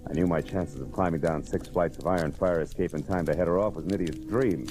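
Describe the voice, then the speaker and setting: A man's voice: low voice, fast, music in the background, close to an everyday voice. A man acting a tough, wry American private detective in a 1940s radio drama, wisecracking and world-weary. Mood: wry, narrating. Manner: hard-boiled, wisecracking, slangy. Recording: old radio recording with background noise.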